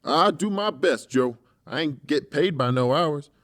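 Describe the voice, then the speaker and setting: Man playing a lively, streetwise hired tough. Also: a little high, measured pace, no echo, clean studio sound. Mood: brash. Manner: streetwise, blunt, slangy. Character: muscular, foul-mouthed, wary male hired torturer. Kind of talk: audiobook character acting.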